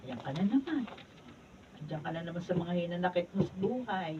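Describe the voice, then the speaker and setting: A woman acting a practical, unruffled labourer's wife in a Filipino radio drama, brisk, down-to-earth and gently chiding. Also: fairly low pitch, expressive, highs cut off, natural voice. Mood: chiding, resigned. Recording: noisy, distant camera-mic studio recording.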